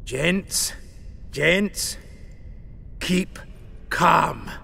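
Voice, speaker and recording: An actor playing a nervous hireling who works for coin in a video game. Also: male voice, fairly high pitch, drawn-out words, creaky, pushed and bright, long reverb tail, slight background noise, heavily acted. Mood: nervous placating. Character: nervous, mercenary male hireling. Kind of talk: dramatic video game acting.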